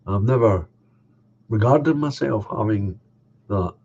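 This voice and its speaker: Older male stream host, nasal and congested, low husky voice, dull lo-fi sound. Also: expressive, a little mellow. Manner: unhurried, chatty, answers comments one by one. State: coughing, a bit congested. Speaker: livestream host reading viewer comments. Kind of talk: casual chat.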